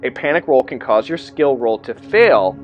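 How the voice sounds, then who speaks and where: Male host, mid-pitched, explaining tabletop rules with informal asides, music underneath. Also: lively intonation, normal speed, highs cut off. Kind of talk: rules explainer, part read aloud, part informal commentary.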